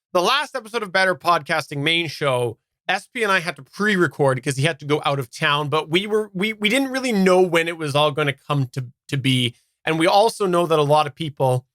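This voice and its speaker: A man's voice: on the high side, sharp tone, dry recording, clean studio sound. A man hosting a podcast live stream in a casual, conversational style. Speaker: podcast host.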